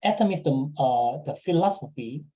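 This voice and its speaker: Man, seminar presenter, halting with fillers, very dark tone. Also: on the high side, animated, low-quality recording. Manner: halting, repeats words, many fillers. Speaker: business presenter. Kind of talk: sales seminar talk.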